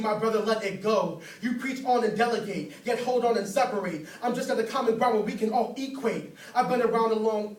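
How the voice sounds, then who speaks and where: A man performing slam poetry as a spoken word poet, with a rhythmic, rhyming, declamatory delivery. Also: high-pitched. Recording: roomy, distant mic, faint noise.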